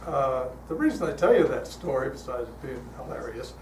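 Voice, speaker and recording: An older man speaking as a guest at a church, telling stories in a relaxed, chatty way with frequent fillers, his voice calm. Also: medium pitch, brisk. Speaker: guest speaker leading a guided meditation. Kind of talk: storytelling talk to a congregation. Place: church hall. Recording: echoey hall, heard from a distance, noisy.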